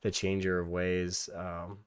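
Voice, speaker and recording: A young man hosting a reaction video, losing his voice and hoarse, rambling casually with many restarts and the odd swear. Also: low voice, completely flat delivery, slow, no echo.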